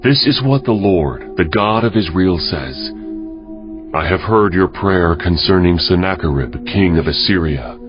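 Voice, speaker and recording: Stern old-world prophet, low voice, slow declamation, loud music behind, Bible audio drama. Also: male voice, expressive. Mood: stern, solemn. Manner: measured, solemn, declamatory. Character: stern male prophet proclaiming God's judgement. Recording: dull audio with music underneath.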